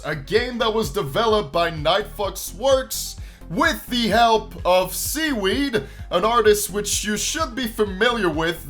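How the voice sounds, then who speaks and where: A man in his twenties with a fairly high voice hosts a game playthrough. His intonation swings widely as he reads story text theatrically and drops in casual asides. Light music plays behind him. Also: fairly bright tone. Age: 20s. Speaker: gaming channel host.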